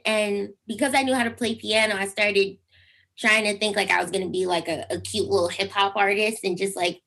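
Young woman, squeaky voice, animated storyteller, video call chat. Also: rough recording. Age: young adult. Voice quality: squeaky speaking voice. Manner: animated storytelling, acts out quotes with big pitch jumps. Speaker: singer guest. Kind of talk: casual interview chat.

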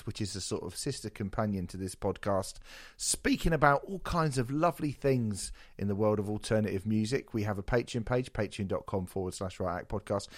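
A man hosting a casual music podcast, jokey, sweary and self-deprecating, his voice a little hoarse from a sore throat. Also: on the low side, dry recording, studio quality. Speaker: podcast host.

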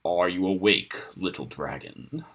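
A man's voice: low-pitched, measured pace, a bit bright, slightly rough, voice pushed down, exaggerated voice. Man voicing a harsh, venomous wolf pack leader. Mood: mocking. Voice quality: hard, harsh. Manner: venomous, contemptuous. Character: vengeful, mocking, huge male wolf pack leader.

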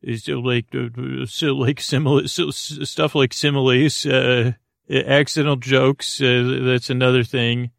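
Creaky-voiced man rambling a bedtime monologue. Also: mid-range voice, flat delivery, measured pace, fairly bright tone, dry recording, clean studio sound. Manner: meandering, hesitant, drifts off into tangents. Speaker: host of a bedtime sleep podcast. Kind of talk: rambling bedtime monologue meant to lull listeners to sleep.

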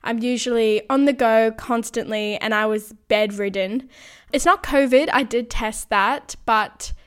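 A woman hosting a solo podcast, her voice slightly croaky from being unwell, talking earnestly with frequent light laughs. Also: fairly high pitch, measured pace. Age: 20s. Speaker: podcast host. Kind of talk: casual solo podcast monologue.

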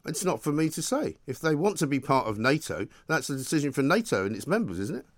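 A man's voice: on the high side, very animated, quick pace. A British man hosting a combative political interview, argumentative and opinionated as he challenges his guest. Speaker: radio talk show host interviewing a politician.

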